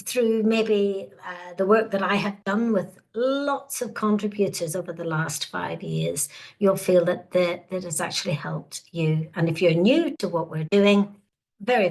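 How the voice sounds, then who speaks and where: A woman's voice: lowish voice, a little slow, gravelly, a little mellow. A woman in her sixties from Northern Ireland with a Northern Irish accent, hosting an online webinar in a hesitant, welcoming way full of fillers. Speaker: webinar host.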